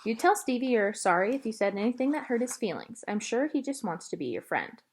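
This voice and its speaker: A woman reads as a melancholy preacher father in a storybook. He is withdrawn and measured, gently firm when he talks to his child. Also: on the high side, big pitch swings, breathy edge.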